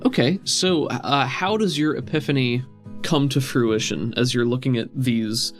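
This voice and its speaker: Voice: mid-range voice, measured pace, faint music behind. A game master narrating a tabletop role-playing game in a casual way and bantering with the players.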